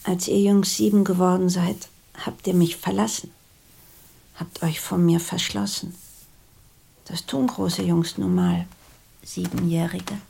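A woman voicing a weary, battered young mother who speaks softly and pleadingly in an audiobook. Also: on the low side, level tone, a little slow, gravelly, breathy edge, exaggerated voice. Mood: tender. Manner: soft, pleading, often half-whispered. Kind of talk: audiobook dialogue reading.

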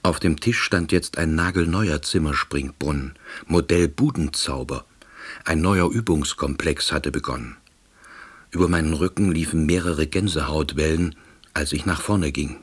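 A man's voice: very deep voice, normal speed, breathy edge. An actor playing a timid, wistful novice salesman, narrating a radio play in a wry, understated way. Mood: wry, astonished. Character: timid, wistful East Berlin man turned novice salesman. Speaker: narrator and lead character.

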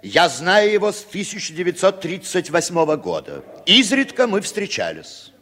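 Husky, bitter playwright in reverberant radio drama. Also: male voice, sits high, big pitch swings, normal speed, fairly bright tone, full character voice. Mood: strained testimony. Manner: theatrical, sarcastic, self-lacerating. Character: cowardly, bitter, hard-drinking male playwright turning informer. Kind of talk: Soviet 1960s radio drama acting. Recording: reverberant 1960s radio play recording.

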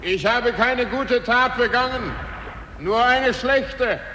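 An actor playing a humble, remorseful wanderer, declaiming in a 1950s German radio drama. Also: male voice, very high-pitched, slow pace. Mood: wary, remorseful. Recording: distant echoey hall, clear noise.

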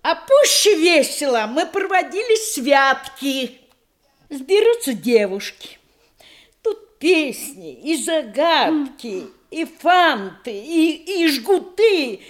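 An affectionate old country matron in a radio comedy. Her voice is high and lilting, and she takes her time. She gushes in old-fashioned folksy verse, fond of the old days. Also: sing-song, takes it slowly, full character voice. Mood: merry, nostalgic. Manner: gushing, doting, old-fashioned folksy speech.

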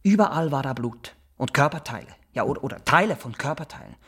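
An actor playing an eager, simple barber in a radio drama, halting and repetitive. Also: male voice, medium pitch, expressive, a bit bright, slightly rough. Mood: morbid, proud. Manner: halting, repeats himself, insistent. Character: eager simple Swiss barber, boastful, morbidly fascinated. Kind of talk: naturalistic radio drama dialogue.

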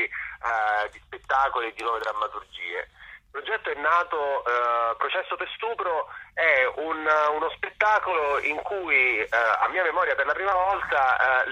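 A man's voice: a little high, pushed and bright, husky, rough recording. A man, a theatre director, answering questions in a radio interview, hesitant with many fillers and restarts.

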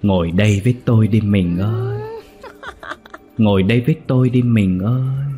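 A man's voice: lowish voice, normal speed, mellow, faint music behind, close to an everyday voice. A man voicing a cold, luring female ghost of an older wife in a horror story. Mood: eerie coaxing.

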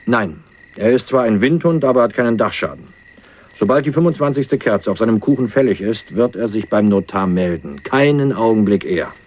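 An actor playing a hunted young millionaire on the run, wry and streetwise, talking in a dry, colloquial, confiding way in a crime radio play. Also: male voice, lowish voice, expressive, fairly quick. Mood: dry, sardonic. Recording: muffled phone-like narrowband radio recording.

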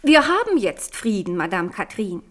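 A woman's voice: medium pitch, very animated, moderate pace, lightly acted. An actress playing a proud Protestant queen of Navarre in a 1970s radio drama, speaking in a firm, dignified and pointed way. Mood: guarded.

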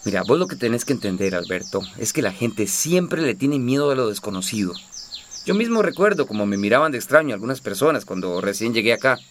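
An actor playing a patient immigrant guitar teacher in a radio drama, explaining things informally. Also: male voice, mid-range voice, very animated, a little fast, a little hiss or hum, lightly acted. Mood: reflective. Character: patient male guitar teacher, cautious immigrant hostel keeper.

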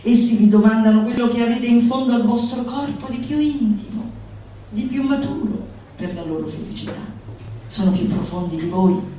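A woman performer reading a manifesto as theatrical declamation, deliberate and declamatory, with dramatic pauses. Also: on the high side. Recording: roomy, echoing, distant mic, faint noise.

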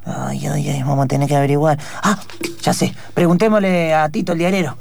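A man playing a slow-witted, excitable police officer in improvised comedic radio theatre, rambling and repeating himself in an Argentine accent. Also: a little high, gravelly.

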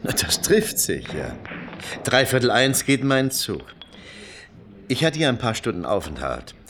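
A man's voice: mid-range voice, big pitch swings, unhurried, fairly bright tone, slight background noise. An actor playing a courteous, reserved middle-aged businessman in a naturalistic radio play, polite, formal and slightly hesitant. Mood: polite, tentative. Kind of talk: naturalistic radio drama acting.

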